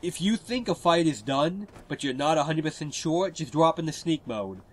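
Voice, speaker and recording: A man with a stuffy cold, giving relaxed, explanatory gameplay commentary over a walkthrough. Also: a little high, moderate pace, slightly rough.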